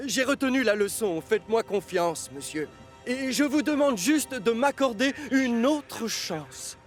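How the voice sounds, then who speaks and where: A proud male cartoon engine brought down a peg. The voice is very high, with an earnest, formal and remorseful delivery. Faint music sits behind it. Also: expressive, measured pace. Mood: humbly pleading. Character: proud male express locomotive, humbled and remorseful.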